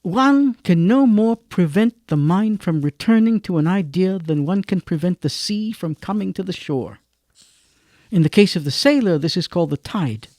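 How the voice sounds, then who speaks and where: An actor playing a grave storyteller, narrating a radio drama in measured, declamatory phrases. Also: male voice, high-pitched, lively intonation, darkish tone. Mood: solemn. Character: grave literary storyteller narrating a moral tale.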